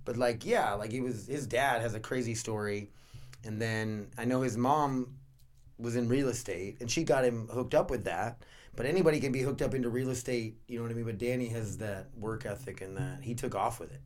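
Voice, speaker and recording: A man's voice: mid-range voice, a little fast. Rambling casual male podcast host. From North Dakota.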